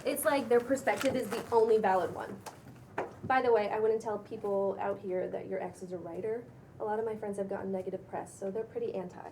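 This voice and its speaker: A woman's voice: medium pitch, fairly even intonation, brisk, darkish tone, a little hiss or hum, close to an everyday voice, rough recording. A woman playing a self-assured, breezy young spiritual influencer in a table read, casual and a little preachy. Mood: sympathetic, preachy. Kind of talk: cold table read of a pilot script.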